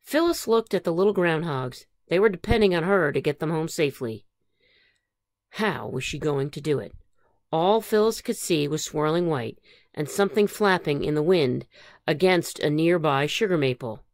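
Female storybook reader, lowish pitch, very animated, dry close sound. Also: normal speed, dry recording. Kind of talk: expressive children's read-aloud.

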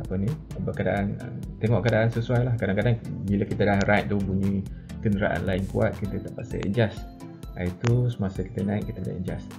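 A man's voice: on the low side, vocal fry. A man in his forties with a Malaysian accent, explaining a product informally and hesitantly, mixing in English terms. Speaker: product reviewer. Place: medium-sized indoor office.

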